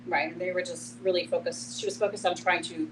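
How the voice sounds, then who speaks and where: A woman's voice: on the low side, steady tone, brisk. A middle-aged woman talking candidly and with empathy in a casual group discussion. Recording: noisy room, distant mic, hum.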